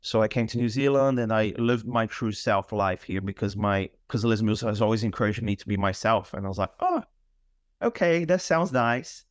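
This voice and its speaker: A man's voice: fairly low pitch, expressive, normal speed, dry recording, clean studio sound. A man in his thirties from Brazil, a Portuguese speaker, chatting in an interview as a fitness instructor, thoughtful and full of fillers. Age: 30s. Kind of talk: casual interview chat.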